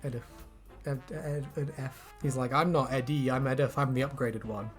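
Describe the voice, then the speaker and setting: A woman's voice: extremely low pitch, steady tone, normal speed, soft background music. A woman chatting casually and jokily as a co-host, swearing freely and prone to giggling. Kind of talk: casual banter, reacting to fan comments.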